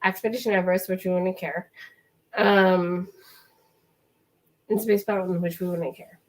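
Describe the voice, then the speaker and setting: A woman co-hosting a casual podcast chat, talking quickly with laughing asides while she is losing her voice. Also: on the low side, fairly even intonation, low-quality recording. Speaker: podcast co-host.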